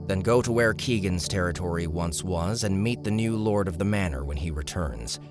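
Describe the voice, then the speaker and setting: A man plays a smooth-talking hero in a fantasy audiobook. His voice is very deep, his delivery measured and self-assured. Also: music in the background, natural voice. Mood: calm, persuasive. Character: composed, smooth-talking male hero leader. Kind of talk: audiobook character acting.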